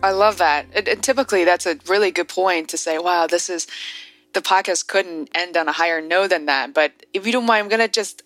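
Hesitant woman host, low voice. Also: brisk, a bit bright, faint music behind. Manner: hesitant, filler-heavy questions. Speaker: podcast host. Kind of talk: podcast interview.

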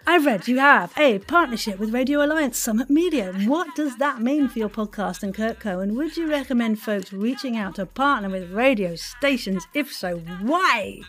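A woman's voice: on the high side, lively intonation, normal speed, few pauses, fairly bright tone. A British woman hosting a podcast interview, chatting in a playful, effusive way with quirky turns of phrase. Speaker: podcast host. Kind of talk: lively interview chat.

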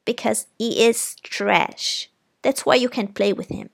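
A woman's voice: mid-range voice, expressive, moderate pace, gravelly. A woman reading aloud voices a stern, proper older aunt who speaks in a haughty, raised voice.

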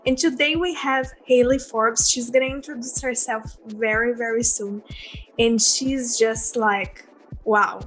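High-voiced woman podcast host. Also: fairly even intonation, measured pace, a bit bright, soft background music, poor audio. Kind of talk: podcast interview.

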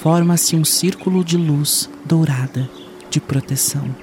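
Slow man guiding a meditation. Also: fairly high pitch, darkish tone, a little hiss or hum. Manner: soothing, unhurried, with long pauses. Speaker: meditation guide. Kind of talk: guided meditation narration.

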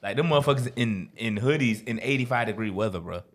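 A man's voice: mid-range voice, animated, fast. A man in casual chat with friends, telling stories in slang. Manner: slangy storyteller.

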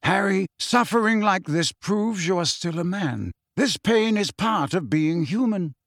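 A man performs an elderly wizard headmaster for an audiobook, grave and kindly. He speaks slowly and with quiet composure, his measured phrases rising and falling in pitch. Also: lively intonation, no echo, clean studio sound, heavily acted. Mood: gentle, grave. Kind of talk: audiobook character voice.

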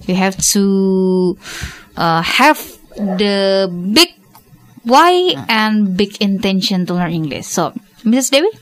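A woman's voice: mid-range voice, lively intonation, slow. A woman hosting a radio talk show, repeating and rephrasing her questions.

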